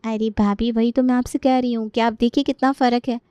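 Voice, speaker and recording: A woman's voice: fairly high pitch, steady tone, a little fast, dark tone, dry recording, muffled recording, close to an everyday voice. A woman playing an outspoken, suspicious young bride in a story, insistent and knowing. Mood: insistent, resentful.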